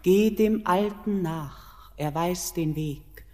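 A mysterious queen of the mountain in a classic radio play. She speaks very slowly in a dark, mellow tone, solemn and commanding yet tender. Her incantatory lines ring in a long hall reverb. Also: on the low side. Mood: tender urging. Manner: solemn, incantatory verse delivery, hushed at times.

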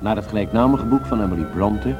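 Loud music plays under a man's voice on an old radio recording. He has a lowish pitch and announces a radio play in a formal style. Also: quick pace.

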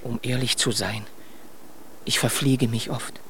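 An actor in a children's radio play voicing a proud but dreamy, scatterbrained stork with a good-natured, musing manner. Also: male voice, mid-range voice, fairly even intonation, unhurried, bright, forceful tone, a little hiss or hum. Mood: musing, sheepish.

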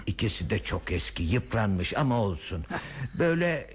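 An actor playing an older man in a radio play, a handy, dreamy enthusiast who coaxes and reassures in a husky voice. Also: lowish voice, sing-song, normal speed, exaggerated voice. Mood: eager, nostalgic. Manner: coaxing, reassuring. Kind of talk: radio theater acting.

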